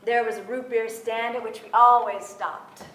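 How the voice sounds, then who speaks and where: Gentle young sister-narrator actress, careful delivery, slightly high voice, distant in a reverberant theatre. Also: female voice, steady tone, normal speed, slight background noise, close to an everyday voice. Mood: fond. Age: young adult. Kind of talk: dramatic staged reading. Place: theatre stage before an audience. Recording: echoey theatre, distant microphone.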